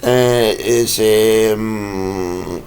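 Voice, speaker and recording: A man, croaky from a cold, ranting in a rambling, halting vlog full of fillers. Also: lowish voice, fairly even intonation, a little mellow. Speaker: vlogger.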